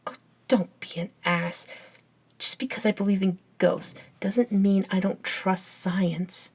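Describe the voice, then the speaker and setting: A woman playing a caring, curious, science-minded young best friend in an ASMR roleplay, with an earnest, halting, gently teasing manner. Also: medium pitch, steady tone, husky. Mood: playfully defensive. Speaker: amateur audio roleplay voice actor.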